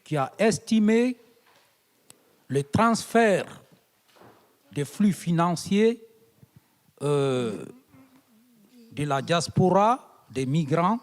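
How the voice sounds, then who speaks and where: A man's voice: a little high, expressive, very slow, long pauses. A man from Guinea giving an invited conference speech, building to emphatic appeals. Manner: oratorical, rising to emphatic appeals. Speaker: invited guest speaker. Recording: long hall reverb.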